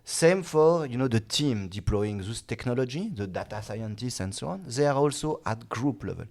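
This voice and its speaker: A man, a guest expert in an interview, speaking haltingly with many "uh"s and restarts. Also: medium pitch, animated, gravelly, darkish tone. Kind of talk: casual interview talk.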